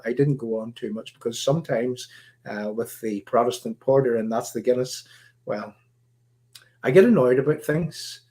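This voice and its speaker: A man with a Northern Irish accent hosting a live stream, talking in a halting, rambling way full of fillers, his voice croaky. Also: mid-range voice, warm tone. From Northern Ireland. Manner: halting, many fillers and restarts. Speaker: livestream host.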